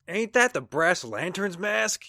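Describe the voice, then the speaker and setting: A man acting a mouthy, sarcastic, excitable busybody in a pulp radio drama, needling in a colloquial working-class way. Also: sits high, unhurried, vocal fry, no room sound, clean studio sound, heavily acted. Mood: sarcastic, accusing.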